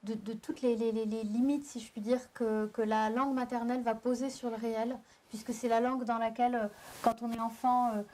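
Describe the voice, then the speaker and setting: A young adult woman from southern France talks about her poetry at a live panel. Her voice is mellow and fairly high, and she pauses and restarts as she works out her thoughts. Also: level tone. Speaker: poet and panel guest. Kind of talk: round-table discussion. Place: live public venue.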